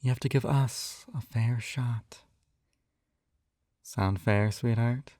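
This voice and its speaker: A man's voice: medium pitch, fairly even intonation, slow pace, darkish tone, no echo, clean studio sound. A man acting in an intimate scripted roleplay as a possessive, doting captor who coaxes in a slightly condescending way. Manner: coaxing, doting, slightly condescending, often sighing. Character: possessive, coaxing male yandere captor and hypnotist. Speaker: audio roleplay performer.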